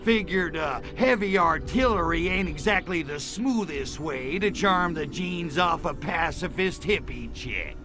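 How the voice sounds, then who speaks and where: A man with an American accent voicing a cocky, wisecracking alien invader in a video game, gravelly, in sardonic hipster slang. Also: on the high side, measured pace, few pauses, music in the background, lightly acted. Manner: laid-back hipster slang, sardonic drawl. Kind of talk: video game cutscene acting.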